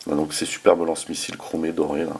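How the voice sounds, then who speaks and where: A man's voice: rumbling low, monotone, fast talker, gravelly, breathy edge. A man hosting a casual hands-on toy review, talking informally with slangy enthusiasm. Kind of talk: casual hands-on product demonstration.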